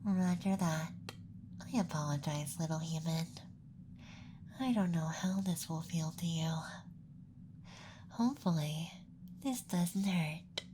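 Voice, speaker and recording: A performer voicing a caring, apologetic alien examiner in a soft, breathy, reassuring ASMR whisper close to the microphone. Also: medium pitch, background noise, close to an everyday voice. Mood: soothing, apologetic. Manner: gentle, slow, reassuring. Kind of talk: ASMR roleplay.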